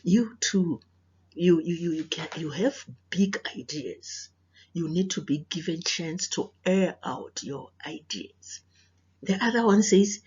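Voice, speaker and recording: A South African woman, a native Sepedi speaker with a husky, breathy voice, reading to children as a teacher, explaining and questioning as she goes. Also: fairly low pitch, mellow, dull audio. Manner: didactic, repeats phrases, questions listeners. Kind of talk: children's read-aloud with moral lesson.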